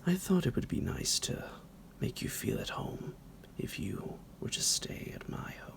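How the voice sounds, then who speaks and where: A man playing a psychotic, possessive vampire doctor in an ASMR roleplay, breathy and gravelly, with a drawn-out, menacing, mock-affectionate delivery. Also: fairly high pitch, sing-song, exaggerated voice. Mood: mock-welcoming menace. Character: psychotic male vampire doctor, possessive, sinister, condescending kidnapper. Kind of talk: ASMR roleplay, slow theatrical monologue.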